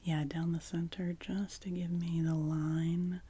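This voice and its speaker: Woman, ASMR whisperer playing a face painter; low mellow whisper, gentle rambling chat. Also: level tone, takes it slowly, husky. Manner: soft, close whispering; gentle, meandering, chatty. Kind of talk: ASMR roleplay.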